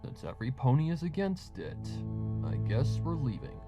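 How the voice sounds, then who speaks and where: Impatient young stallion, acted by a male reader, pitched up from narration, brisk and bossy, horror story with music. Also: big pitch swings, vocal fry, warm tone, highs cut off. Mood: exasperated. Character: young male pony stallion, impatient, take-charge, exasperated. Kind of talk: dramatized horror fan fiction reading.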